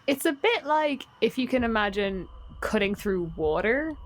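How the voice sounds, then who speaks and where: A woman's voice: fairly high pitch, very animated, normal speed. A woman playing a tabletop game with casual, playful, dryly funny banter.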